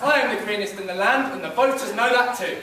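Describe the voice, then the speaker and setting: A man's voice: high voice, quick pace, lightly acted. A man playing a pompous, petulant, argumentative mayoral candidate in a stage pantomime, recorded with echo. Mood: boastful. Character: boastful, petulant comic male mayoral candidate. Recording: distant, echoey stage with noise.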